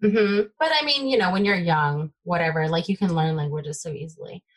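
A young adult woman from the Bay Area, whose first language is Taiwanese, chatting excitedly as a guest developer in an interview. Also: fairly low pitch, fairly quick. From United States (Bay Area). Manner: excitable, filler-heavy, trailing off. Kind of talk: casual tech interview chat.

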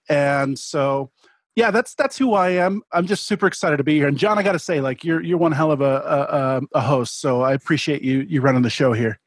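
A man speaking casually as a podcast guest, haltingly repeating words as he searches for phrasing. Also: fairly high pitch, dry recording. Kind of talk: casual chat.

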